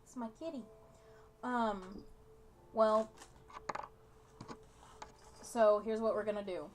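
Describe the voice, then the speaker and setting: A woman's voice: mid-range voice, steady tone, slow, slight background noise. A young woman hosting a gaming video, chatting casually and reading game text aloud.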